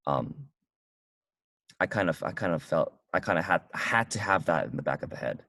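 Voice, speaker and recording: A man casually reviewing a film with a friend, talking in halting bursts with many restarts. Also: deep voice, lively intonation, bright, forceful tone, dry recording. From United States. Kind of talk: casual movie review chat. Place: car.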